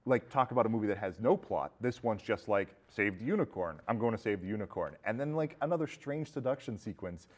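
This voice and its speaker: A man co-hosting a casual film discussion, talking in a halting, rambling way. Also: on the low side, animated. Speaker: podcast co-host.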